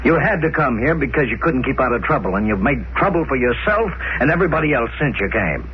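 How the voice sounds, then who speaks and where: A man playing a stern, animated colonial official. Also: medium pitch, fast talker, close to an everyday voice. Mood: sarcastic, scolding. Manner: brusque, scolding, sarcastic. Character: stern, impatient male colonial administrator on Mars. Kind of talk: 1950s radio drama acting. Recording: dull, noisy old 1950s radio recording.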